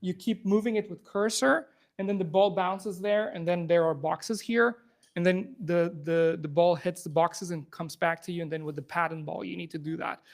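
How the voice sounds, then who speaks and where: A man's voice: sits high, fairly even intonation, creaky. Chatty man from Afghanistan giving a live demo. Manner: talkative, fast-restarting, upbeat and informal. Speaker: software engineer presenting a live demo. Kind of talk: live tech demo talk to a room audience. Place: event room with a live audience. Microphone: handheld or clip microphone.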